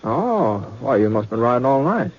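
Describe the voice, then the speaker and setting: An American actor playing a kindly, folksy cowboy drifter in a 1950s radio western, speaking hesitantly with repeated words. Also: male voice, mid-range voice, very animated, normal speed, close to an everyday voice. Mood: gentle, thoughtful. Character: kindly folksy drifting cowboy gunman telling a campfire story. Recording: dull vintage 1950s radio recording.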